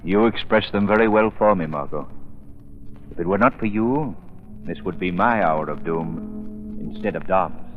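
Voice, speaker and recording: Tinny old radio sound with music behind frames an actor playing a grave, composed hero. His low voice is measured and solemn, with expressive rises and falls. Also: close to an everyday voice. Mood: grave, reflective. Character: grave, composed male crime-fighting hero. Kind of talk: 1940s radio acting.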